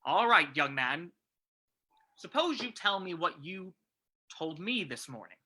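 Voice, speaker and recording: A woman performs a hard-boiled male commissioner from an old radio mystery. The voice sits low for a woman and cuts very sharply. The delivery is clipped, gruff and sarcastic. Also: sing-song, measured pace, bright, forceful tone, exaggerated voice. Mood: gruff, accusing. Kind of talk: old-time radio play reading.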